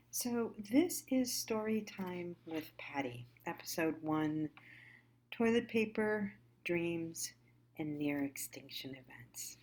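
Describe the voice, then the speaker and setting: A woman's voice: fairly low pitch, darkish tone. Musing woman in casual monologue. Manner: musing, conversational, halting with trailing thoughts. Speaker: host of a storytelling series.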